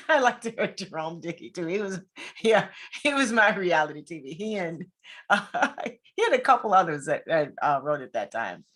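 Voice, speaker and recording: A woman's voice: fairly low pitch, sing-song, moderate pace, fairly bright tone. A woman hosting a casual online interview, informal and encouraging as she asks her questions. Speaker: interview host.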